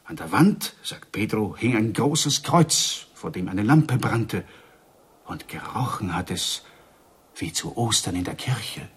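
A village storyteller in a radio drama, spreading marvels in a very rough, husky voice. The pitch moves freely as he builds suspense and lingers on each strange detail. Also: expressive, takes it slowly, heavily acted. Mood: hushed, wondering. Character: excitable village storyteller passing on wondrous rumours. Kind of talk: German radio drama acting.

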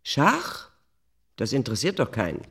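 A woman's voice: rumbling low, wildly swooping pitch, normal speed, slightly rough, full character voice. An actress playing an imperious, cynical middle-aged female editor-in-chief in a German radio drama, bossy, dismissive and sarcastic. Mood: brusque.

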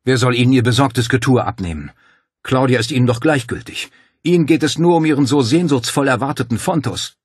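Man acting a furious young man, pitched up. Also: animated, moderate pace, breathy edge, no room sound, clean studio sound. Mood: hostile, angry. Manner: loud, indignant, challenging. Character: furious, defiant young man, disbelieving and protective. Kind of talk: dramatic audiobook dialogue.

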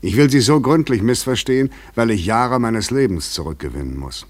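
An actor playing an overwrought, weary fugitive in a German radio play, speaking in an articulate, insistent, rhetorical manner. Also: male voice, mid-range voice, animated, a little fast, close to an everyday voice. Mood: provocative, insistent. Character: overwrought, weary, probing male fugitive intruder.

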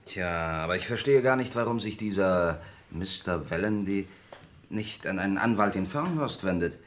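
Disgruntled lawyer, deep voice, grumbling, puzzled, vintage radio drama acting. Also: male voice, expressive, moderate pace. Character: disgruntled young male lawyer, puzzled newcomer. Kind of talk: 1960s German radio play acting.

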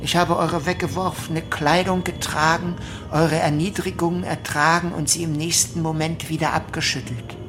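A woman acting a bitter, defiant prisoner in a radio play, speaking in a measured, accusing, solemn way with pronunciation. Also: deep voice, level tone, noisy background, close to an everyday voice. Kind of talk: dramatic radio play monologue. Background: music.

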